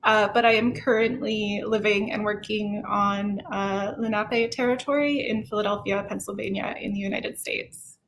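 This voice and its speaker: Canadian woman, mid-pitched, casual. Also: steady tone, moderate pace, fairly bright tone, low-quality recording. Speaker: panelist, writer. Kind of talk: casual self-introduction on a panel. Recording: online call with low rumbling noise.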